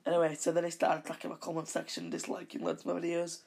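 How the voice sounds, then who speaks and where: A man hosting a casual podcast who rambles through his monologue. His voice is croaky, and he keeps correcting himself. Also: on the high side, monotone, quick pace, a little mellow. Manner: rambling, with frequent self-corrections. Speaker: podcast host.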